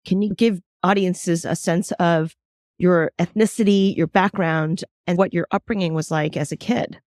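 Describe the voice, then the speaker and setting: A woman's voice: lowish voice, fairly even intonation, normal speed, darkish tone, no room sound, clean studio sound. A woman hosting a podcast, asking questions and listening attentively with frequent short affirmations. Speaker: podcast host. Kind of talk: interview questions.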